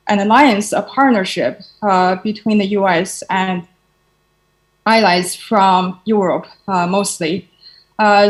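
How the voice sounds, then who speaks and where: Woman w presenting at a conference, lots of uh pauses, mid pitch. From China. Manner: halting, many filler pauses. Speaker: conference speaker.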